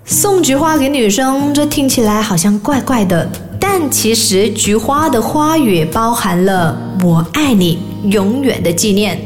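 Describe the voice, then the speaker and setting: A woman's voice: on the high side, animated, a little slow, faint music behind. A young woman giving scripted narration for a youth romance radio drama. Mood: storytelling.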